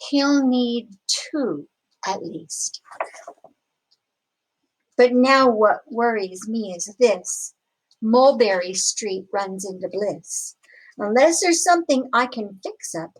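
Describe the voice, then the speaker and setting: A woman reads a children's story aloud in a somewhat high-pitched voice. Her delivery is very unhurried and expressive, with dramatic, deliberate phrasing made for young listeners. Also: rough recording. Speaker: storybook reader. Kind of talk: expressive children's picture book read-aloud.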